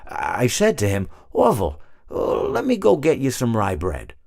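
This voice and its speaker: A man's voice: medium pitch, huge pitch swings, moderate pace, creaky, a little mellow, no echo, studio quality, heavily acted. A man voicing a genteel, chatty elderly hippopotamus in a video game, rambling wistfully with hesitant stammers and digressions. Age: older. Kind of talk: storytelling monologue for a video game.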